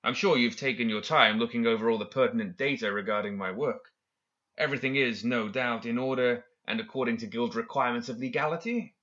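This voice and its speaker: A man's voice: mid-range voice, brisk, bright, forceful tone, highs cut off, close to an everyday voice. A man voicing a smug, always-controlled professor villain in an audiobook, speaking with formal, mocking courtesy. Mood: politely mocking. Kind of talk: audiobook character acting.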